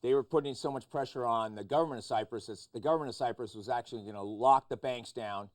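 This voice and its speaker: A man hosts a discussion of the news in a mid-pitched voice. He talks in an interview style, probing with questions and often stepping in to clarify. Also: fast. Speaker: talk show host.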